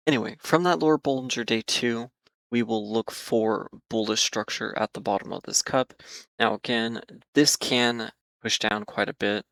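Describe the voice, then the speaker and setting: A man talking casually through stock charts, hoarse and losing his voice, with many fillers and repeated hedges. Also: on the low side, moderate pace, a bit bright, no echo. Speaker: market analysis host. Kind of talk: casual trading chart commentary.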